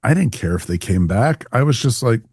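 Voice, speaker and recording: A man's voice: mid-range voice, lively intonation, a little fast, a little mellow, husky, dry recording, studio quality. A man co-hosting a podcast, chatting casually and enthusiastically in an informal fan review. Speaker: podcast co-host.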